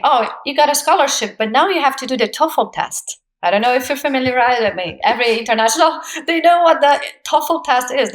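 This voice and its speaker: A woman in her forties from Brazil, speaking English with a Brazilian Portuguese accent as a guest in an interview, telling her story with lively, laughing energy. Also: fairly high pitch. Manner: animated storyteller, laughs often, acts out quoted voices. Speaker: guest, actress. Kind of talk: casual interview conversation.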